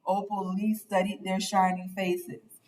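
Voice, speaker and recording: A woman reading a children's picture book aloud with deliberate, dramatic phrasing as a storyteller. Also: mid-range voice, completely flat delivery, a little mellow, distant mic, low-quality recording.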